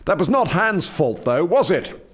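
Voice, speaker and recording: An actor playing a dignified alien doctor in charge of a lab in a radio play, formal and composed in manner. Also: male voice, sits high, big pitch swings, a little slow, heavy background noise. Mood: resigned, dignified. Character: dignified alien male doctor heading a planet-making lab.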